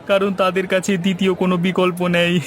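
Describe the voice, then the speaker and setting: A man voicing a scheming, greedy hotel owner in a cartoon, with a gloating manner and drawn-out exclamations. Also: high-pitched. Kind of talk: cartoon dub. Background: music.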